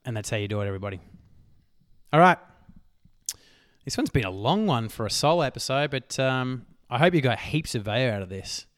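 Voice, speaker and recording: A man hosting a solo motivational podcast, talking emphatically and swearing casually, his voice worn hoarse. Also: medium pitch, lively intonation, brisk, long pauses, no room sound, studio quality. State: losing his voice. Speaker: podcast host.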